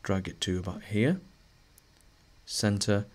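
A male teacher narrating a screen-recorded lesson, a little hoarse as he is losing his voice, talking through each step with fillers and restarts. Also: fairly low pitch, slow pace. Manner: relaxed, thinking aloud with fillers and restarts.

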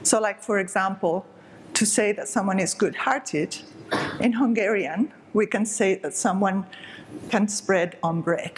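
A woman's voice: mid-range voice, unhurried, a little hiss or hum, poor audio. A middle-aged woman from southern Spain, giving an explanatory, emphatic stage talk to an audience. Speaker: speaker. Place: dark stage with curtain.

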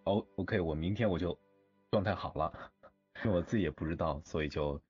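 Low-voiced man, hesitant talker. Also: a little mellow, slightly rough, no room sound, dull audio, soft background music. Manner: hesitant, halting. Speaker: voice actor in an audio drama. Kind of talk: casual group chat.

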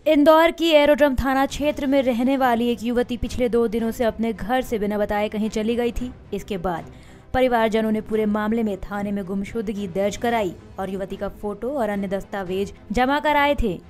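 A woman reading scripted news bulletins as anchor, in a formal, steady newsreader delivery. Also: medium pitch, normal speed, faint music behind.

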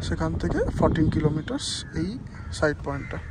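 A man's voice: a little high, fast talker. A man chatting casually to viewers in a travel vlog, giving tips as he goes. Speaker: travel vlogger. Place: car and outdoor sightseeing spots. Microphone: phone held by the speaker. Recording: phone recording with loud road and outdoor noise.

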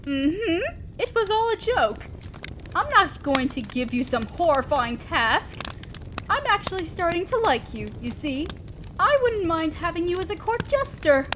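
Woman acting a high-pitched, teasing female demon lord. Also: sing-song, a little slow, exaggerated voice. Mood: amused. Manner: theatrical, playful, mock-polite. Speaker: audio roleplay performer. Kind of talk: scripted ASMR-style roleplay addressed to listener. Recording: crackling fire noise behind voice. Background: crackling fire.

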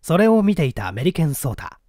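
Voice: mid-range voice, big pitch swings, brisk, warm tone, dry recording, clean studio sound. An audiobook narrator reading a story theatrically, addressing listeners in a formal storyteller manner.

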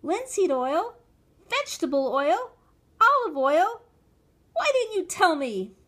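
Woman putting on a shrill, indignant young boy. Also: unhurried. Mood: indignant protest. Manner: loud, protesting. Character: shrill, easily flustered young boy on an errand.

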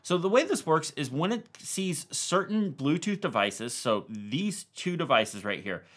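Man with lively, varied pitch. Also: a little slow. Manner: casual, step-by-step explaining. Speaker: tech video host. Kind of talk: explanatory tutorial narration to camera.

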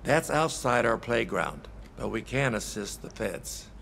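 A man's voice: mid-range voice, very animated, measured pace, vocal fry, fairly bright tone, a little hiss or hum, full character voice. A man playing a laconic, commanding sheriff in a video game, speaking with terse authority. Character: laconic, commanding male sheriff in cowboy hat. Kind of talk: cinematic game dialogue.

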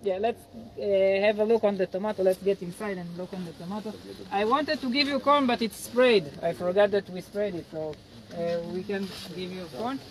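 A man in his forties, an agronomist, explaining farm work patiently in halting, non-native English beside a field. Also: high voice, rough recording. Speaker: agronomist guiding a farm tour. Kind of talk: outdoor field lecture. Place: outdoors by a cornfield.

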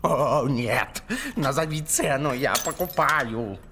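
An actor voicing a scheming, impatient old male villain in an animated film. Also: mid-range voice, takes it slowly, background noise. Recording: music behind the voice.

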